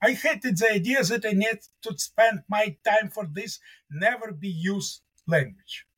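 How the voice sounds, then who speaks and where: A man's voice: high-pitched, fairly bright tone, breathy edge, rough recording. A middle-aged man whose first language is Russian, a mathematics professor speaking as an interview guest in an earnest, emphatic way, often repeating his key words.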